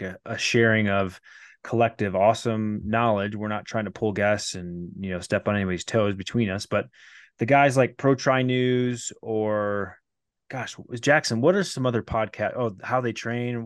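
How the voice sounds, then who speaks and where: A man's voice: fairly low pitch, no room sound, studio quality. A man hosting a podcast in a loose, playful, teasing way. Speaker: podcast host. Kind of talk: casual podcast chat.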